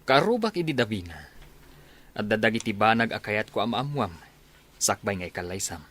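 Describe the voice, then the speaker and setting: Radio drama: cautious young soldier, loyal buddy, lowish pitch. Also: male voice, big pitch swings, fairly quick, fairly bright tone. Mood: advising, concerned.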